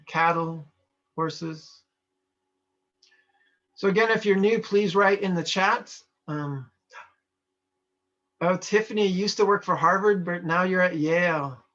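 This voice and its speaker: A man's voice: a little high, fairly bright tone. A man from Montana, a trainer chatting casually with online participants and reading their chat messages aloud. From Montana, USA. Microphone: video call. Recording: lo-fi video call audio.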